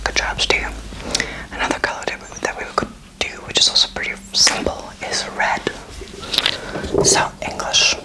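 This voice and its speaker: A woman's voice: moderate pace, pauses often, low-quality recording. A woman in her twenties giving an ASMR language lesson in a whisper, casual, playful and encouraging as she teaches. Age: 20s. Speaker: host teaching beginner German.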